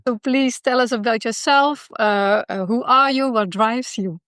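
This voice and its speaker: A woman's voice: on the high side, unhurried, fairly bright tone, smooth, no room sound, studio quality. A woman hosting a podcast interview, friendly and encouraging, with many short agreements. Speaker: podcast host.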